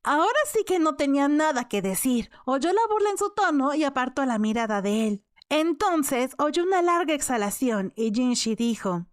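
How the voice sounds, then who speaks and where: A woman's voice: high-pitched, sing-song, normal speed, few pauses. A woman reading scripted story narration as an audiobook narrator.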